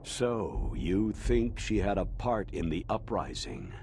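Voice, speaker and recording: An actor playing an older military colonel in a video game, guarded, evasive and hesitant. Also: male voice, sits low, big pitch swings, slow pace, creaky, a little mellow, slight background noise, full character voice. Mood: troubled, evasive. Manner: hesitant, defensive. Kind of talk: dramatic video game voice acting.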